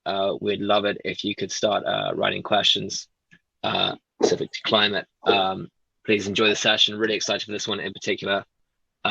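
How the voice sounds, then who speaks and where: A man opening an online session as moderator, talking quickly with lots of uhs. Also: fairly low pitch, vocal fry, pushed and bright, dry recording, muffled recording. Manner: quick, filler-heavy. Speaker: webinar moderator.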